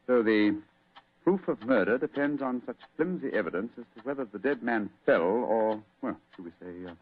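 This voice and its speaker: An actor playing a shrewd, imperious gentleman detective in a radio drama, speaking in a crisp, commanding, superior way. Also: mid-range voice, animated, moderate pace. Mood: dry, musing. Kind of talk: old-time radio drama acting. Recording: muffled old radio recording.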